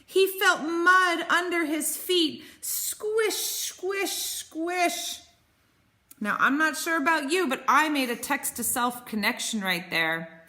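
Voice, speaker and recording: A woman in her thirties reading a picture book aloud as a teacher, expressive and sing-song, explaining simply for children. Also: high voice, slow. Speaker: teacher reading to young pupils.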